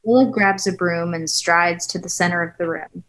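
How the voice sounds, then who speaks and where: A woman's voice: lowish voice, fairly even intonation, normal speed, fairly bright tone. A woman reading stage directions as narrator at a screenplay table read, in composed, literary storytelling style.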